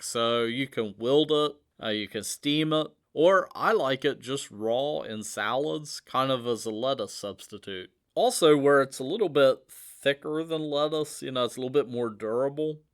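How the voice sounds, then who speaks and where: A man hosting a casual gardening podcast in a chatty, easygoing way, at an everyday middle pitch. He drifts into tangents and chuckles at his own asides. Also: a bit bright. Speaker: host.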